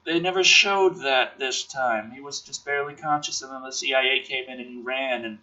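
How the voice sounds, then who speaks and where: A man's voice: mid-range voice, moderate pace, pushed and bright, muffled recording. A man reading a film script aloud theatrically and riffing on it with sarcastic, sweary commentary.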